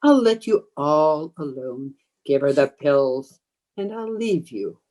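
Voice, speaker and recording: A woman's voice: deep voice, big pitch swings, mellow, heavily acted. A woman playing a menacing, commanding undead ghost hungry for blood, in old time radio horror style. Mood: coaxing, commanding. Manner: slow, commanding, taunting. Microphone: video call.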